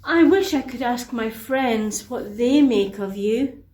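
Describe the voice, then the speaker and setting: A woman acts out a wide-eyed unicorn from a children's storybook who longs to fly. The voice is high and exclaiming, full of eager wonder. It rings in a very echoey room. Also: slow pace, a little mellow. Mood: pensive.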